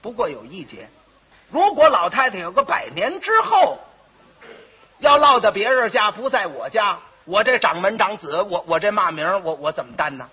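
A pompous eldest brother from a comic story, put on by a male storyteller, frets and stammers in a Beijing accent. His high, husky voice swings widely, insisting on every point. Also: big pitch swings, normal speed, exaggerated voice. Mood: self-righteous, fretful. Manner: stammering, emphatic, repeats himself. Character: pompous, fretful eldest brother guarding his good name. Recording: muffled, dull old recording.

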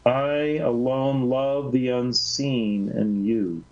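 A man reading the lines of a serene male teacher, speaking low, tenderly and slowly. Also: fairly even intonation, darkish tone. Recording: slightly dull lo-fi recording.

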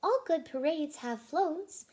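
A woman voicing an eager, excitable cartoon puppy in a storybook, exclaiming with enthusiasm. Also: high voice, slow, pitched above the performer's own voice.

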